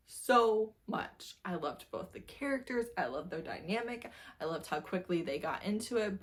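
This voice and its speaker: A woman giving a casual book review in a giggly, gushing way, often repeating words for emphasis. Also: mid-range voice. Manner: giggly, laughs often while talking. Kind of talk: casual monthly reading wrap-up chat.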